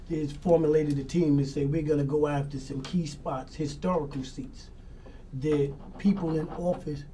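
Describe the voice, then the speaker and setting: A man's voice: medium pitch, dark tone. A man moderating a community talk show, casual and familiar, calling the guests brother. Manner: familiar, calls guests brother, loose colloquial speech. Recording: distant mic with faint noise.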